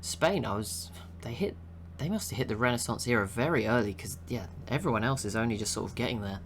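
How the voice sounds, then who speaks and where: A young man giving relaxed, chatty commentary over a strategy game he is watching, laughing to himself now and then. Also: medium pitch, brisk, slight background noise. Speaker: gaming channel host.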